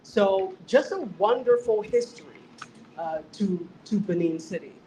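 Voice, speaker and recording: A woman's voice: medium pitch, lively intonation, unhurried, noisy background. A woman speaking as a talk show guest, explaining things casually and emphatically, like telling a story. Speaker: guest, media academic.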